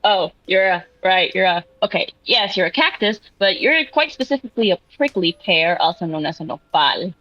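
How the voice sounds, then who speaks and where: A woman improvising dialogue in a tabletop roleplay, halting and repeating herself, on a dry, slightly dull mic. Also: on the low side, expressive, no echo. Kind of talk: improvised in-character roleplay chat.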